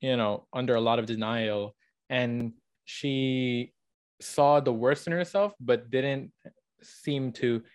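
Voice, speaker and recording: A man's voice: mid-range voice, takes it slowly, smooth. A young man co-hosting a podcast, explaining and arguing his points in a casual book discussion. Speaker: podcast co-host.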